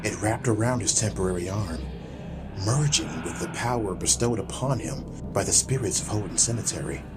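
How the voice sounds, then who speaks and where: A man with a deep voice narrates a fantasy audiobook. His delivery is measured, literary and dramatic, with music playing clearly behind him. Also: lively intonation.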